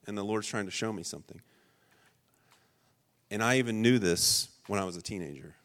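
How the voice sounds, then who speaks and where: A man preaching a sermon, earnest and emphatic, with loud stressed points and quiet personal asides. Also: on the low side, a little fast. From rural Ohio. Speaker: pastor.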